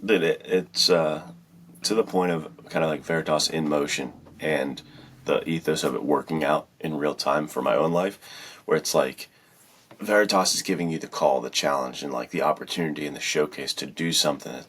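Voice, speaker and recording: A man with a very deep, gravelly voice telling stories in a casual, slangy, conversational way on a podcast. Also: moderate pace, fairly bright tone. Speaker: podcast speaker, filmmaker and writer.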